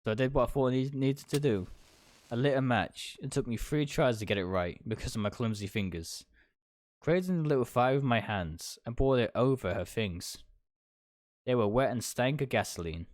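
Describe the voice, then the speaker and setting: A man's voice: medium pitch, moderate pace, a little mellow, no room sound, studio quality. A man reading a visual novel's narration aloud on a livestream, stumbling over and rereading some lines. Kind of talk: live read-aloud of narration with asides. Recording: music behind the voice. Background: game music.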